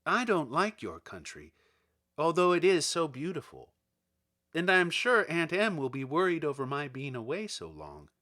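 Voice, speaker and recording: Storybook little girl acted by a male reader: meek, honest and plaintive, pitched up, with lively swings in tone. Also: moderate pace, heavily acted. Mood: earnest. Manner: earnest, plaintive, simple. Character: earnest, helpless little girl, simple and honest. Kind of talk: dramatic storybook character reading.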